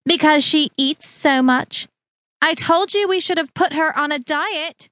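A woman voicing a snobbish middle-aged future mother-in-law who talks in a prim, condescending, drawn-out way. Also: high voice, a bit bright, voice pushed up. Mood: sharp.

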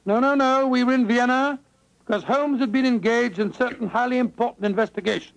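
A man's voice: very high-pitched, a little fast, creaky, low-quality recording. An actor playing a kindly older English doctor in 1940s radio drama, avuncular and fussy, hemming and hawing as he talks. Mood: genial, reminiscing. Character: kindly, avuncular old English doctor telling his memoirs. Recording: vintage 1940s radio broadcast recording.

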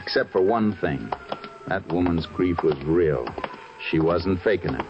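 A steady, deep-voiced Texas Ranger. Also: male voice. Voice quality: low, steady, measured. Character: steady Texas Ranger narrating his case. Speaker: narrator. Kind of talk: 1940s radio acting. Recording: muffled old radio broadcast with music.

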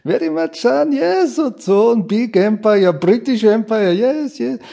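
A man's voice: high-pitched, expressive, a little slow, vocal fry, dark tone, dull audio, exaggerated voice. A man playing an eager, simple-minded foreigner making comic small talk in clipped, repetitive broken phrases for a radio play. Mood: chummy.

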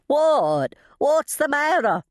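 Woman playing motherly housekeeper, Southern drawl, folksy, bossy yet coaxing, lively pitch swings, dry audiobook sound. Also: unhurried, no echo. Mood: alarmed.

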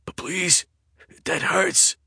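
A man doing a terrified, high-pitched pleading worker. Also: extremely slow, creaky, bright, forceful tone, breathy edge, no echo, clean studio sound, exaggerated voice. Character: terrified male control station worker.

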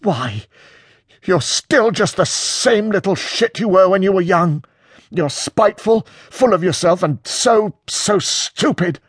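A man performs a bitter, theatrical man in a storybook quarrel. The voice is pitched far up and swings widely, with a husky edge. He is cutting, mock-dramatic and close to hysterical. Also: big pitch swings, unhurried, no room sound, exaggerated voice. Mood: furious, spiteful. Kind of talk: audiobook character acting.

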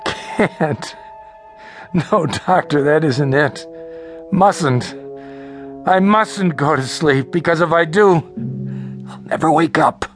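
An actor playing an exhausted, frightened patient in his thirties in an audio drama, weary and halting. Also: a little high, faint music behind. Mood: weary, resigned. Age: 30s. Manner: hesitant, halting. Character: exhausted, frightened, agitated thirty-five-year-old male psychiatric patient.

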